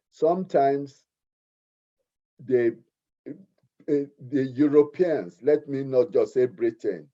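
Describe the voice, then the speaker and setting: Slow Nigerian man, very dark voice. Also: medium pitch. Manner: earnest, repeats himself, deliberate. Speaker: community speaker, art teacher. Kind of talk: community meeting talk. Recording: muffled lo-fi recording.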